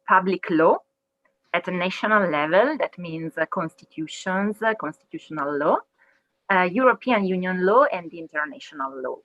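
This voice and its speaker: A woman from Italy giving a careful webinar talk as a legal researcher, pausing with fillers. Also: on the low side, a bit bright, no echo. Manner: careful, hesitant, many fillers. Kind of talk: webinar presentation. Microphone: video call. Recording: muffled video call audio.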